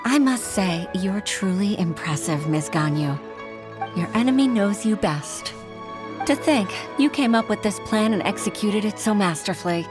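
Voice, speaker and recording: A woman's voice: mid-range voice, animated, moderate pace, close to an everyday voice. A woman playing an earnest, humble female official in an anime game dub, speaking in a respectful, formal and slightly hesitant manner. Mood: impressed, respectful. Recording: music behind.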